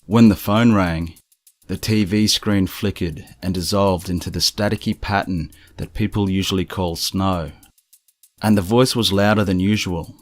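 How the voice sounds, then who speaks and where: A man reading scripted first-person stories as a narrator, in a measured, serious manner. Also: low voice, steady tone. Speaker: narrator of strange true stories.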